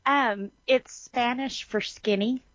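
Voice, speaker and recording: A woman's voice: a little high, takes it slowly, a bit bright, no room sound, highs cut off. A woman co-hosting a podcast, chatting casually with folksy, informal grammar. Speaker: podcast co-host. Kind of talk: casual chat.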